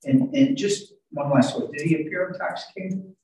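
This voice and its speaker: Very echoey, far-off audio of an older man with a dark, mellow voice of medium pitch. He questions a witness in court, hesitant as he builds each question. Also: normal speed, creaky, slight background noise. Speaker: lawyer cross-examining a witness. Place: courtroom, heard over video call. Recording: very echoey courtroom, distant mic.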